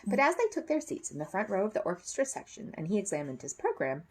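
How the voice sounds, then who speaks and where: A woman narrating a children's story with expressive, dramatic emphasis. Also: medium pitch, quick pace, husky. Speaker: storybook reader. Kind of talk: animated children's story narration with character voices.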